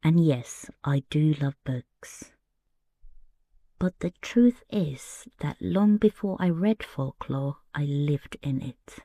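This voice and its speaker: A woman from Finland with a Finnish accent, hosting a soft-spoken bedtime podcast and telling personal stories in a gentle, hushed way. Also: sits low, expressive, no echo, clean studio sound. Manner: slow, hushed, gentle delivery. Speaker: podcast host.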